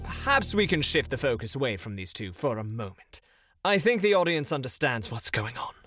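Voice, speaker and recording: A man's voice: mid-range voice, sing-song, brisk, a bit bright, no room sound, faint music behind. A man playing a proud, stubborn co-narrator in an audio drama, theatrical and easily indignant.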